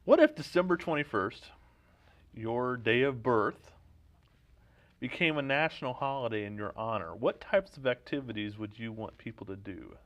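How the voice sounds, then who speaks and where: A man hosting a comedy show with casual banter, in a hesitant, deadpan manner. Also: medium pitch, moderate pace. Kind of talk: casual bantering monologue and interview. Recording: dull lo-fi recording.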